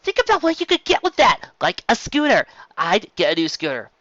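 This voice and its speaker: A narrator voicing a brash, energetic young tomboy filly pony with a pushy, childlike way of talking in a storybook reading. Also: medium pitch, fairly quick, no echo, highs cut off, pitched above the performer's own voice. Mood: brash, eager.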